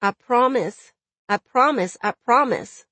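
A woman's voice: fairly high pitch, slow, no room sound, voice pushed up. Young woman acted by a female narrator, audiobook dialogue, loving, steadfast, earnest, dry sound. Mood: tender, earnest. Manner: gentle, earnest, reassuring. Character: loving, steadfast pregnant young woman soothing her jailed lover. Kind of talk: dramatic audiobook character dialogue.

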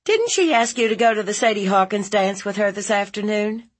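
A woman's voice: medium pitch, lively intonation, fairly quick, voice pushed up, natural voice. A woman voicing a fierce, blunt, protective aunt in a story, scolding in an emphatic, folksy, interrogating way. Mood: stern questioning.

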